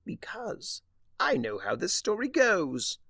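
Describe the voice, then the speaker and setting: A man's voice: high voice, wildly swooping pitch, slow pace, creaky, pushed and bright, husky, dry recording, studio quality, pitched above the performer's own voice, exaggerated voice. Man putting on a theatrical, swooping trickster chimera. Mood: smug explaining. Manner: pompous, wordy, taunting. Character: theatrical, mocking, vengeful male chimera trickster of chaos. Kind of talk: dramatic fan fiction audiobook reading.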